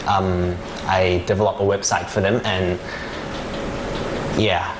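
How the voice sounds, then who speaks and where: A man from Thailand, a software developer, answering interview questions and explaining his product plainly, with some fillers. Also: sits low, level tone, slow. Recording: echoey room with noise.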